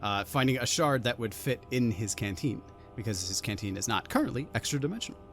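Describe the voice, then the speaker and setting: A man working as game master, narrating a tabletop roleplaying game in a halting, deliberate way that leans on key words. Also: medium pitch, animated, fairly bright tone. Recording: music behind the voice. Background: background music.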